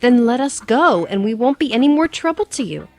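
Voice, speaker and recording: Woman playing a level-headed, higher-voiced adventurer. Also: sing-song, fairly quick, a little mellow. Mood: wary. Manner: reasoning, matter-of-fact. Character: level-headed, practical young female adventurer. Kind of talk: audio drama acting.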